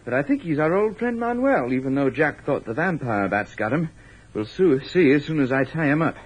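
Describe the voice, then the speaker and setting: A wisecracking male adventurer in an old radio serial. His highish voice swings freely and has a husky edge, bantering breezily through a muffled recording. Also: very animated, fairly quick. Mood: breezy. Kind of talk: 1940s radio acting.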